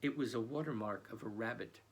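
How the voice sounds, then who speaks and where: A male children's book author reading his own novel aloud in an expressive storytelling manner. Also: medium pitch, brisk, breathy edge.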